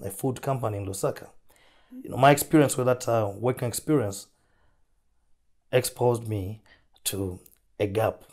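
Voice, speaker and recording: A man with a Zambian accent answers interview questions as an entrepreneur, his voice husky as he recovers from flu. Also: medium pitch, darkish tone.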